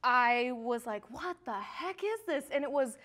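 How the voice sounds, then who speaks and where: A woman chatting casually as a guest, with a bubbly, excitable, giggly way of talking. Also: high voice, unhurried. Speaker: guest, studio production coordinator. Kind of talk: casual chat.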